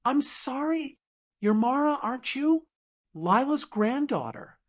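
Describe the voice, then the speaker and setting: Woman playing a polished, condescending businesswoman, lively pitch. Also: slow, full character voice. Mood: gracious, condescending. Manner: smooth, sugary, faintly condescending. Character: polished manipulative businesswoman, smoothly condescending, falsely gracious. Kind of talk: audiobook drama dialogue.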